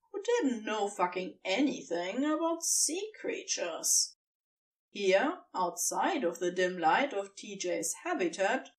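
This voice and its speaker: A woman narrating fiction from a script in an expressive storytelling style. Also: a little high, recorded from a distance.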